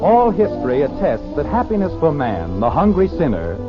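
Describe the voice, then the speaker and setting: A man's voice: a little high, huge pitch swings, normal speed. A man narrating a radio sitcom in a scripted, old-time announcer style. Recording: dull old radio broadcast, loud music.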